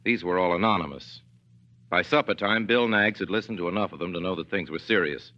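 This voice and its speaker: A man giving measured, folksy scripted narration for a 1940s radio drama. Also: sits low, sharp tone. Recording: dull 1940s radio broadcast.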